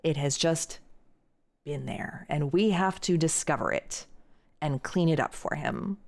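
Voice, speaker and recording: A woman's voice: low-pitched, measured pace, creaky, a little mellow. A young woman streaming live, chatting casually and reading out and answering viewer messages. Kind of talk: casual chat reading chat aloud.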